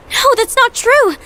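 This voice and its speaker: An actress playing an anxious, innocent young female warrior in an animated game cutscene. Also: extremely high pitch, noisy background, full character voice.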